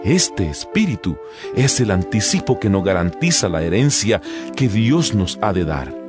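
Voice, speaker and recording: A man's voice: lowish voice, sing-song, unhurried. A man reading scripture as a dramatized audiobook narration, in a solemn, declamatory manner. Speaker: Bible narrator. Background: background music.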